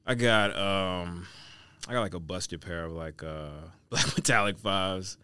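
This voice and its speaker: A man's voice: low voice, unhurried, bright, forceful tone, clear, resonant tone, no room sound. A man chatting casually as a podcast guest, answering in short, agreeable phrases. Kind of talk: casual chat.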